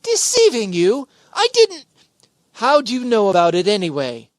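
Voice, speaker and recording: Animated, doting radio sitcom husband voiced by a woman. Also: fairly high pitch, normal speed. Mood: indignant. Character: George Cooper, fussing, doting, bewildered husband. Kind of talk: old-time radio sitcom acting.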